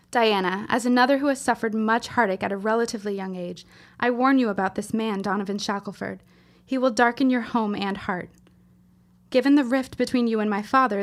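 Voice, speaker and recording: A woman's voice: mid-range voice, close to an everyday voice. Earnest young woman, formal and grave acted by a woman. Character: earnest, wounded young woman writing a solemn letter. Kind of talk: scripted period drama monologue.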